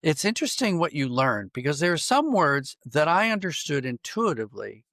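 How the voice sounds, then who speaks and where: Guy with a creaky gravelly voice, dry close sound, thinking out loud in an interview, rewords stuff a lot. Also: a little high, sing-song, no room sound. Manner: thoughtful, halting, circling back to rephrase. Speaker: podcast guest, writer and dramatist. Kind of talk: conversational interview answers.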